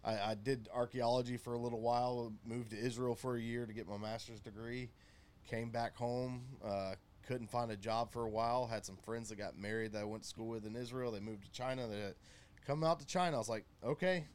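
A man in his thirties talking casually and laid-back, pausing often with fillers as he tells his story. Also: medium pitch, fairly even intonation. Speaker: guest. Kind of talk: casual chat.

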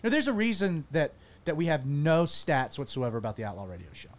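A pastor hosting a casual panel podcast, animated and self-deprecating. His voice sits fairly high for a man. He often trips and restarts mid-sentence. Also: fast.

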